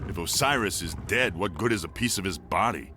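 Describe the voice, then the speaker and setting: A gruff warrior speaks in a rather low voice, skeptical and menacing. The game cutscene performance has clear noise behind it. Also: male voice, expressive, brisk. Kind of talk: video game cutscene acting.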